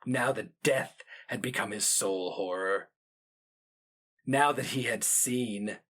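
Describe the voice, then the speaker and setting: A male narrator delivers a dramatic fantasy reading. His voice sits a bit low yet has a bright, forceful edge. He is solemn and theatrical, with a very unhurried, deliberate pace. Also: husky.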